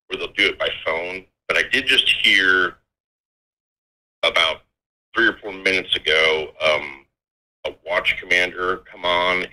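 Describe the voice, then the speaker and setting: A man giving an informal, halting account of events, often restarting his phrases. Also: low-pitched, pushed and bright, poor audio. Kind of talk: informal spoken account of scanner reports.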